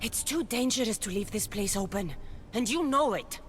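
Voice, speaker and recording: A woman's voice: mid-range voice, lively intonation, normal speed, slight background noise, natural voice. An actress playing a determined, principled female warrior hero in a video game cutscene, firm and earnest.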